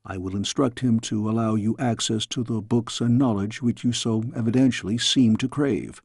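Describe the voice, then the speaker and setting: A man voicing a fatherly storybook king, grave yet tender, with measured, formal speech. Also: medium pitch, fairly even intonation, darkish tone, husky, no room sound, clean studio sound.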